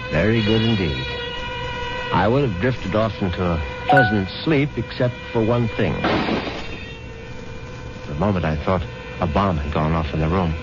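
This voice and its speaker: A man's voice: low-pitched, expressive. An actor playing a pompous, easily flustered middle-aged rich widower who narrates his own story in a 1970s radio drama. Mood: smug. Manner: pompous, self-satisfied, halting when flustered. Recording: muffled old radio broadcast, music behind.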